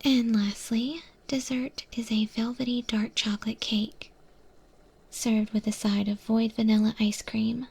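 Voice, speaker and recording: A woman playing a patient monster woman who mentors a newcomer in a restaurant kitchen, in a hushed, breathy ASMR roleplay whisper. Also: fairly high pitch, flat delivery, full character voice. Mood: hushed, measured. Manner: slow, hushed, gently instructive.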